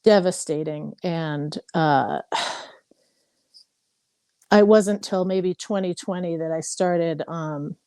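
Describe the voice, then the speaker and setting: A woman's voice: lowish voice, steady tone, takes it slowly, long pauses, dark tone, clean tone, no echo, studio quality. A woman in her fifties from Hawaii, candidly sharing her story in a casual group call, with many fillers. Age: 50s. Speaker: participant.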